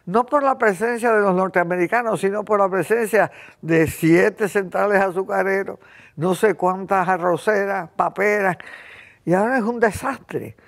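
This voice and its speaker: A woman's voice: mid-range voice, moderate pace. Impassioned Cuban woman in her eighties. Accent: Cuban Spanish. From Cuba. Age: 80s. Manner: impassioned, rambling, frequent throat-clearing. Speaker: host. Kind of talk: casual conversation.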